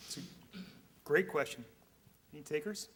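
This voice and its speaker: A man's voice: a little high, moderate pace, a bit bright, a little hiss or hum. A man from Canada moderating a conference panel, speaking candidly with frequent restarts. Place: conference hall.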